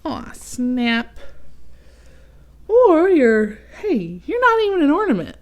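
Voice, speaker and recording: A woman's voice: high-pitched, wildly swooping pitch, slow pace, voice pushed up, heavily acted. A woman voicing a boastful, jazzy male Christmas ornament from a storybook, with a showy, dismissive manner. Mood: disdainful.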